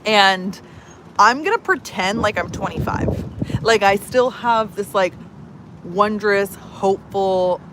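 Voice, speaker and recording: A woman's voice: mid-range voice, takes it slowly, background noise. Chatty woman in her thirties. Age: 30s. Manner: chatty, many fillers, reads comments aloud. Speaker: vlogger and personal growth coach. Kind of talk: casual chat to camera.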